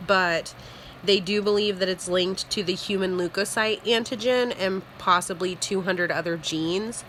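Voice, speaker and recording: Young woman w a cold presenting for class, sniffly, kinda low but bright voice, faint hiss behind. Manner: informal, many ums, clears throat. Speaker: student presenter.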